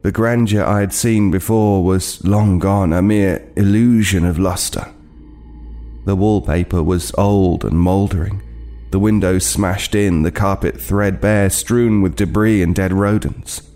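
An actor playing a haunted, guilt-ridden homeless man, telling his horror tale in a grave, deliberate, literary way for an audio play. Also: deep voice, steady tone, dark tone. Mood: grim dread. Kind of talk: dramatic first-person horror narration, audio play.

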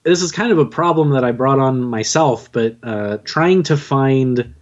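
Man, podcast guest, casual interview, halting and self-deprecating, average pitch, dull audio. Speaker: podcast guest, audio drama writer and narrator.

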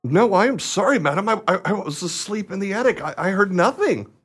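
A man's voice: sits high, animated, a little fast, slightly rough. A man acting a nervous, deferential footman in a radio play, with a stammering, earnest servile manner. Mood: meek, flustered. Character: nervous, deferential footman, eager believer in conjurers.

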